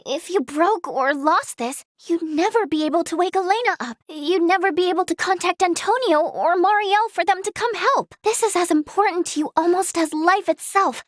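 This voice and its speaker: A woman playing an eager, kind-hearted young female healer in a fantasy video game, earnest, expressive and girlish in manner. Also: extremely high pitch, moderate pace, few pauses, no room sound, studio quality. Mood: thoughtful. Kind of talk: video game character acting.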